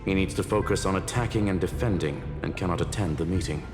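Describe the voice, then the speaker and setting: Guy doing a low serious scholar for a game, very formal and exact, big echoey hall with music. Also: natural voice. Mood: impassive. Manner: formal, factual, measured. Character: formal, grave male scholar, precise and impassive. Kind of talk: video game voice acting.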